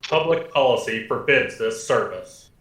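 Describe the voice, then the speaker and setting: An echoing, impersonal computer voice, acted by a man in a radio comedy. It is formal and mid-pitched, with sound effects or music behind it. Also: animated, a little slow, lightly acted. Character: impersonal, formal talking computer answering questions. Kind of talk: hobby radio play acting. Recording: echoing voice over electronic sounds.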